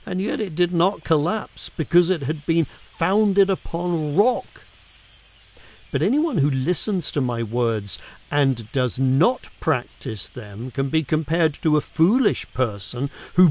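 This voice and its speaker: A man reads scripture aloud in a measured, deliberate way, using pauses and emphasis for dramatic effect. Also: fairly high pitch, very animated, darkish tone. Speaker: reader of scripture.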